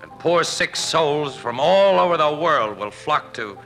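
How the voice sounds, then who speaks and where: An actor playing a wry, world-weary newspaper crime photographer in a 1940s radio drama, slangy and sardonic. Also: male voice, fairly high pitch, expressive, a little slow, fairly bright tone, soft background music, low-quality recording. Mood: disgruntled.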